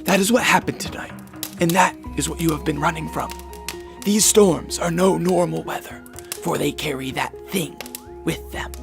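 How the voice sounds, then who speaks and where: An actor playing an eccentric, grave scientist in a radio drama, lecturing in a theatrical, deliberate way. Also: male voice, on the high side, vocal fry. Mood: grave, ominous. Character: eccentric male scientist doctor, grave, fervent, scattered. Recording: dramatic music behind the voice.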